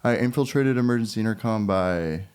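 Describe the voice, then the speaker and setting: A man with a fairly low voice talks as a guest on a podcast. His delivery is casual and understated, in loose everyday conversation. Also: brisk, a little mellow, clean tone. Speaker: podcast guest and crew member. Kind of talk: casual chat.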